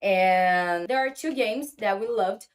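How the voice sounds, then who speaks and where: Woman speaking halting English. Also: medium pitch. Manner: halting, searching for English words. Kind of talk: casual vlog talk.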